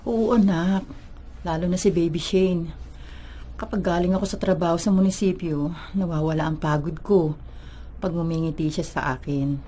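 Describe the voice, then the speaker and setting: A woman's voice: fairly low pitch, fairly even intonation, normal speed, dark tone, a little hiss or hum, natural voice. A woman playing a caring, protective middle-aged mother with a Filipino accent in a radio drama. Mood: tender, reassuring.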